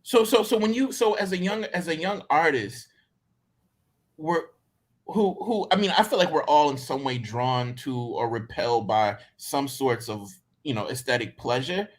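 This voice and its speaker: Higher-voiced Southern American man, halting and reflective. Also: animated. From Mississippi, United States. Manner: halting, repeats phrases as he builds a thought. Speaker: interviewer, writer in conversation. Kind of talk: casual, reflective conversation.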